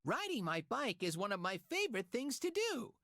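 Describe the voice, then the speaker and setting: A woman's voice: fairly high pitch, sing-song, moderate pace, fairly bright tone, no echo, studio quality. A woman voicing a peppy, sporty cartoon child, speaking enthusiastic sing-song rhymes for a preschool game. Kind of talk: scripted rhyming children's game narration.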